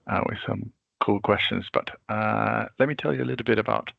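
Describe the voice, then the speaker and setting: Man in his forties, a deep voice with heavy fry, husky, calm and reflective as a webinar guest, dull lo-fi call audio. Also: no room sound. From Venezuela. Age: 40s. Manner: measured, reflective storytelling. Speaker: guest expert. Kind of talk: webinar interview answer. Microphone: video call.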